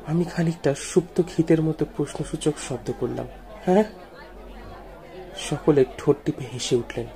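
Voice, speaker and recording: A man narrating a first-person ghost story in a brooding, reflective, measured way. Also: a little high, warm tone, a faint crowd.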